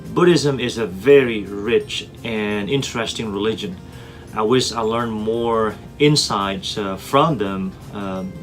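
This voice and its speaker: A man in his forties from Indonesia, introducing himself as a teacher in an earnest, prepared talk with frequent "uh" fillers and repeated words. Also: on the low side. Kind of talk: scripted self-introduction. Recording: soft guitar music underneath.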